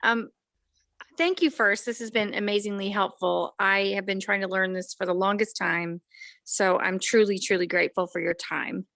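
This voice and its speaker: A woman's voice: mid-range voice, steady tone, moderate pace, vocal fry, fairly bright tone, no echo, clean studio sound. A woman attending an online workshop, speaking politely and a little hesitantly as she asks an informal question. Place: online video call.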